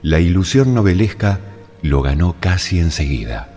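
A man narrating a literary story in a dramatic, measured and deliberate way. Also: low-pitched, sing-song, warm tone. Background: music.

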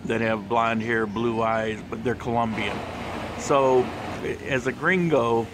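A man talking through a casual walking travel vlog in a shopping mall, relaxed and chatty with plenty of fillers. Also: medium pitch, slow. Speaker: travel vlogger. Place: outdoor mall terrace and shopping center. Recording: handheld camera with street and mall noise.

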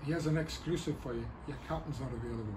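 A man interviewing players and staff after a football match, asking questions in a quick, friendly way. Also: medium pitch, recorded from a distance. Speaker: club media interviewer. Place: football stadium stand, outdoors. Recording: outdoor camera audio with noise.

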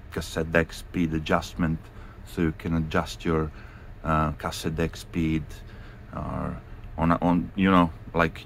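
A man giving a casual hands-on tutorial in a halting, informal way, repeating phrases as he goes. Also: sits low. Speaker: hi-fi video host. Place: indoors in a room with audio gear. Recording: clearly noisy handheld home recording.

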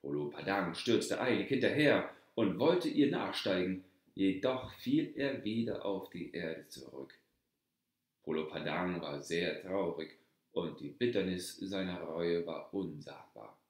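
A man reading a fairy tale aloud in calm, measured storybook narration that sinks lower in sad passages. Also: echoey room.

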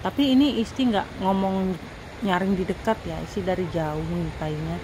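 A woman's voice: lowish voice, moderate pace, noisy background. A woman narrating her own foraging vlog as a voice-over, chatting with viewers as friends. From Indonesia. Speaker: vlog host. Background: background music.

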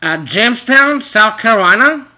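Guy doing a naive pushy immigrant wife, high bright voice, halting broken english, prank call. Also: lively intonation, slight background noise, exaggerated voice. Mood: confused, insistent.

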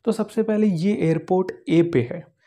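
A man's voice: high voice, unhurried, warm tone. A man in his twenties with an Indian accent, explaining step by step as an instructor in a tutorial, mixing in English technical terms. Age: 20s. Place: indoor green-screen studio.

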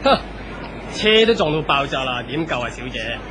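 In a noisy old radio drama, a man plays a blunt passerby with a Hong Kong Cantonese accent. He is brusque and scoffing, with a pitch that swings about in exclamations. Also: sing-song, normal speed, a crowd in the background, natural voice. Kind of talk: 1970s radio drama acting.

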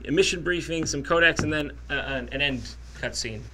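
A man in his thirties hosting a casual behind-the-scenes video in an office studio, jokey and animated. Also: on the high side, fairly quick, noisy background. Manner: animated, jokey, bursts into excited exclamations. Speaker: YouTube host.

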